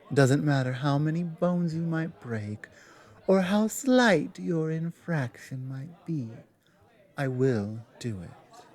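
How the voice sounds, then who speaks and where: Man playing a slow, stern minotaur bouncer. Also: fairly high pitch, a little mellow. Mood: suspicious. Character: huge, stern minotaur tavern security guard, confident and teasing. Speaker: audio roleplay performer. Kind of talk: ASMR roleplay, in-character monologue.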